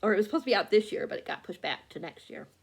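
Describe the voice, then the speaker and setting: A woman book vlogger chatting casually and haltingly, often losing her thread and correcting herself. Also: mid-range voice, expressive, vocal fry, breathy edge. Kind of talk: casual rambling chat about books.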